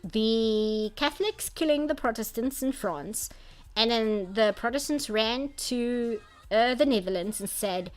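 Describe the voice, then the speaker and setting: A woman's voice: fairly high pitch, unhurried, faint music behind, rough recording. A woman from South Africa with a South African accent, whose first language is Afrikaans, chatting casually on a live art stream, rambling with restarts and frequent laughs. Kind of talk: casual live-stream chat, reading chat aloud.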